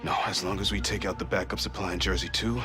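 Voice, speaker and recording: Man playing a deep-voiced, grave, determined scientist. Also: fairly even intonation, fast, lightly acted. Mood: resolute. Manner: terse, intense, resolute. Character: grave, determined male scientist turned saboteur. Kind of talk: video game voice acting. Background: background music.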